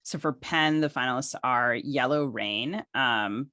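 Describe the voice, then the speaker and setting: A woman's voice: extremely low pitch, measured pace, sharp tone, no echo. A woman co-hosting a podcast, reading out information in a conversational way. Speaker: podcast co-host.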